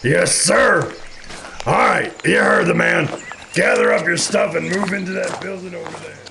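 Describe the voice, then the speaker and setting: A man playing a gruff, eager soldier in an audio drama, barking loudly in a gravelly voice. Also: high-pitched, normal speed, noisy background. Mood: obedient, barking. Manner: loud, barking. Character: gruff, eager male soldier under a captain.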